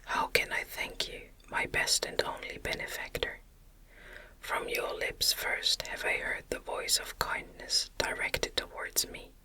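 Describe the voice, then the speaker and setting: A woman reading a novel aloud as gentle ASMR, entirely in a breathy, unvoiced whisper. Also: poor audio. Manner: gentle, soothing, measured phrasing. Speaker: audiobook reader.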